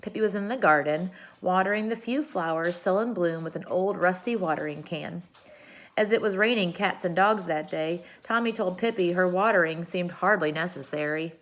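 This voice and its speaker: Expressive woman reading a children's story. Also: fairly low pitch, normal speed. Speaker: storybook reader. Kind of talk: read-aloud children's story narration.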